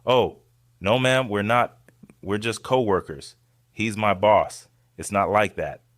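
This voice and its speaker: Man acting a flustered waiter with lowish voice. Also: slow, no echo, studio quality. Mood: flustered, deflecting.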